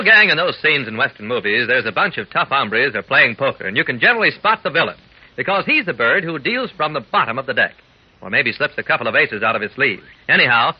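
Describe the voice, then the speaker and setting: A man's voice: mid-range voice, slightly rough. A male announcer giving an earnest, scripted old-time radio talk to young listeners in folksy slang. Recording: muffled old radio recording.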